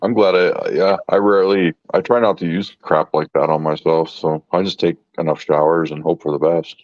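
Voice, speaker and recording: A man's voice: sits low, steady tone, moderate pace, no room sound. A man in his fifties chatting casually about keeping fish, often stopping and repeating words as he searches for what to say. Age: 50s. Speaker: fish keeper. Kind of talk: casual chat. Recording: dull lo-fi sound.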